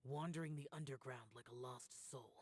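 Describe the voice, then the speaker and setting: A man playing a stern, self-sacrificing young warrior in a video game, speaking in a solemn, measured way with a husky, breathy voice. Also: medium pitch, close to an everyday voice. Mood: somber.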